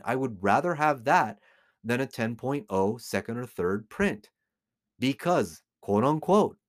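A man's voice: mid-range voice, dry recording, clean studio sound. A middle-aged man with an American accent giving informal, opinionated commentary as a host, emphatically repeating phrases for stress. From United States. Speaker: podcast host, collectibles dealer.

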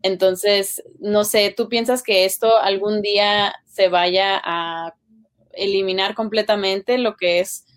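A woman's voice: medium pitch, fairly even intonation, slow, clear, resonant tone. A woman hosting a podcast interview, who came as an immigrant when young, speaking with frequent hesitations. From Latin America. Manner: hesitant, many fillers. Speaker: podcast host. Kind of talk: casual interview.

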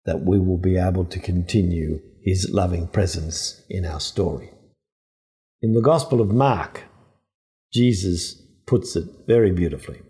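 An older man, a priest, giving a reflective scripture sermon in a deliberate, earnest way. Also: lowish voice, warm tone.

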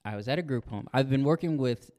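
A man's voice: medium pitch, quick pace, warm tone. A young American man co-hosting a podcast, talking casually with a friend, with slang and some swearing. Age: young adult. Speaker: podcast co-host.

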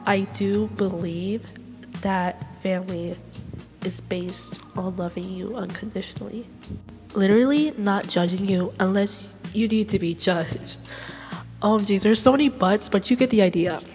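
A woman's voice: medium pitch, measured pace, darkish tone, long reverb tail, soft background music. Congested, outspoken young woman. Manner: outspoken and opinionated. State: congested from illness. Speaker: education student. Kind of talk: solo class podcast reflection.

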